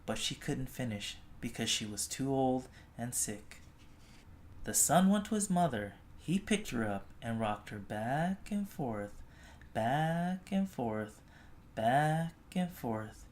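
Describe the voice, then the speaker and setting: A storybook reader telling a children's tale expressively, with gentle, drawn-out phrasing. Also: medium pitch, sing-song, a little hiss or hum.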